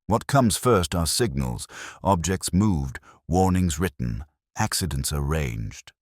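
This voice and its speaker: A man voicing a calm, shrewd police inspector in a mystery story, speaking deliberately and with understatement. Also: extremely low pitch, no room sound, clean studio sound, exaggerated voice. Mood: calm, cryptic.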